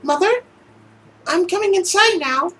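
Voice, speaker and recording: A man voicing a shy young village girl, soft and hesitant, in a visual novel. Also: extremely high pitch, lively intonation, measured pace, a little hiss or hum, pitched above the performer's own voice, exaggerated voice. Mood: meek, tentative.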